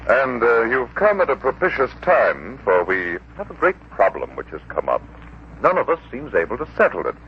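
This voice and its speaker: A man's voice: mid-range voice, huge pitch swings, normal speed. An actor playing a pompous, self-important man of standing in a theatrical 1940s radio drama style. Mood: smug, sly. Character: pompous self-important male farm overseer and host of guests. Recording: muffled, noisy 1940s radio recording.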